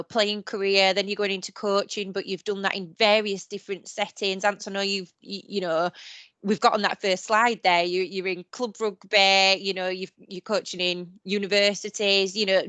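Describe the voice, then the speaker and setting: A woman's voice: mid-range voice, a bit bright, no echo. A woman, a careers adviser, hosting a Q&A on a video call in a chatty, hedging way. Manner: chatty, hedging, many "you know"s.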